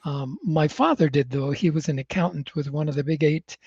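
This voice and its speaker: A man from Chile who grew up in the United States, a native Spanish speaker, talking reflectively as a podcast guest with frequent pauses. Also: on the high side, a little mellow, breathy edge, no echo. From born in Chile, grew up in the United States. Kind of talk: conversational personal storytelling. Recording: dull, slightly lo-fi dry microphone.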